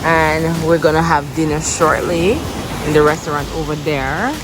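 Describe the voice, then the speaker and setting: A woman from Jamaica chatting casually in a travel vlog, relaxed and quick to laugh. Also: low-pitched, very noisy. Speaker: vlogger.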